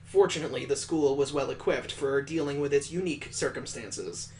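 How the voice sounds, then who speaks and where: A man's voice: medium pitch, fairly quick, a little mellow. Man reading a game aloud over music. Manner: wry, jokey asides. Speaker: streamer playing and reading a visual novel aloud. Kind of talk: casual gameplay commentary and narration.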